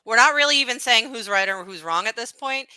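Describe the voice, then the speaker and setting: A woman co-hosts a sports podcast with a bright voice that moves freely in pitch, recorded dry and close. She speaks frankly, backing her partner up or pushing back to argue the other side. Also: lively intonation, fairly quick, smooth, dry recording. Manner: frank, agreeing, plays devil's advocate. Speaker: podcast co-host. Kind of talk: casual chat.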